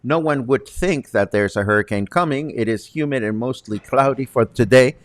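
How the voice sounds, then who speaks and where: A man's voice: medium pitch, moderate pace, dry recording. A man presenting as a show host in a chatty, conversational way with wry asides. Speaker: host presenting news and interviews.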